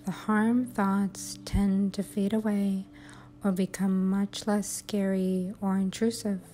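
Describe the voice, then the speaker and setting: Slow, mellow woman guiding sleep hypnosis. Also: medium pitch, level tone. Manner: soothing, unhurried, measured phrasing. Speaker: hypnosis and meditation guide. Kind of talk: guided sleep hypnosis, affirmations. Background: music.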